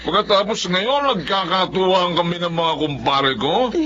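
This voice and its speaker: An actor playing a drunken, abusive Filipino husband in a radio drama, berating with taunting questions. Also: male voice, high voice, normal speed. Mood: furious, threatening. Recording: muffled recording under loud music.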